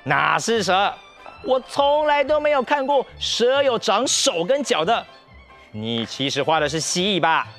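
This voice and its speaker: Male narrator as excitable male guest in a kids' tale; theatrical, high, swooping. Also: a little slow, faint music behind, heavily acted. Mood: mocking. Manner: exclaiming, theatrical. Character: first guest, eager male storybook drinker, excitable.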